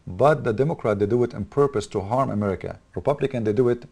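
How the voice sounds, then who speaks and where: A man's voice: medium pitch, fast, creaky, a little mellow, breathy edge. A man with an Arabic accent, a native Arabic speaker, giving an emphatic political monologue full of rhetorical questions and repetitions. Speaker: political commentator. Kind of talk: opinionated video monologue.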